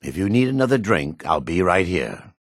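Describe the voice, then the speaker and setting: Male dark elf, fantasy game character, husky, dry close recording, jaded and contemptuous. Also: low voice, very animated, normal speed, no room sound, heavily acted. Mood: bitter, sardonic. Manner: sardonic, disdainful. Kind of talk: video game character voice acting.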